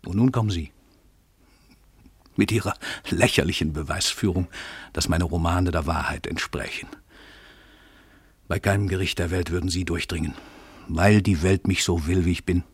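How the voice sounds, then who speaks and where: An actor playing a world-famous crime writer, an arrogant, cynical murderer who declaims in a domineering, emphatic way in a radio drama. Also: male voice, low-pitched, moderate pace, pauses often, slightly rough, no echo. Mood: imperious, boastful. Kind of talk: theatrical German radio drama monologue.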